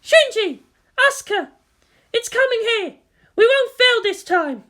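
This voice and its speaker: A cocky teenage girl pilot, in a very high, loud voice. She is boastful and excited, pushing every phrase with brash confidence. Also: a little slow, full character voice. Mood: fired up.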